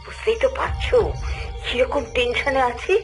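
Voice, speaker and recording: A tense, worried character in a horror radio drama. She is deferential and pleading, in a somewhat high voice with music underneath. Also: steady tone, normal speed. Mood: worried, tentative. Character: worried woman, tense, carefully polite. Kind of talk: Bengali audio horror story acting.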